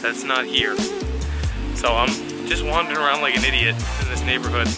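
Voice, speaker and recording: A man's voice: medium pitch, steady tone, brisk. A young man talking to a handheld camera as he travels, chatty and jokey with his viewers. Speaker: travel vlogger. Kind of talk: casual vlog narration. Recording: loud music behind the voice.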